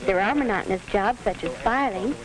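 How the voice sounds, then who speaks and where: A young woman playing a polite, earnest high school girl in a 1940s educational film, speaking neatly and properly. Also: a little fast, a loud crowd, lightly acted. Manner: neat, proper, scripted delivery. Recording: noisy, scratchy 1940s film soundtrack.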